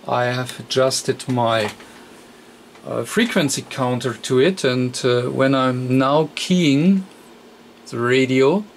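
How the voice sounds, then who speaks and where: A man who speaks as a walks through a technical repair step by step. His voice sits at an average pitch and moves very slowly, with frequent hesitations and an unscripted, halting flow. A faint layer of noise sits behind him. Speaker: radio repair technician demonstrating. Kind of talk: unscripted hands-on repair tutorial.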